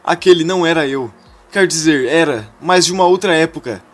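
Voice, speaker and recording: A man's voice: on the high side, fairly quick, pitched above the performer's own voice, natural voice. A man voicing a cornered young man who pleads for forgiveness in a retold soap-opera story. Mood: pleading.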